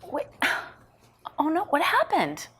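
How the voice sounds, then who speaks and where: A woman playing a polished, eager psychologist in a script table read, gushing, breathy and polite. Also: high-pitched, wildly swooping pitch, slow, a bit bright, full character voice. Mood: concerned. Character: polished, eager woman psychologist greeting an old college friend.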